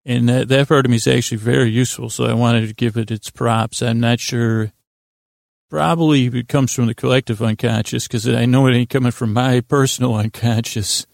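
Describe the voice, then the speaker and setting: A man with a gravelly voice hosting a bedtime podcast, rambling in a halting, repetitive way with frequent digressions and self-interruptions. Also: medium pitch, steady tone, no room sound, clean studio sound. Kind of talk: rambling, meandering bedtime monologue.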